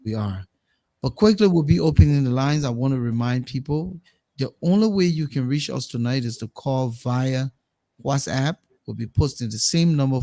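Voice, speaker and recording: A man's voice: mid-range voice, dark tone. A Liberian man with a Liberian accent hosting a current affairs talk show, speaking emphatically and repeating words as he builds his points.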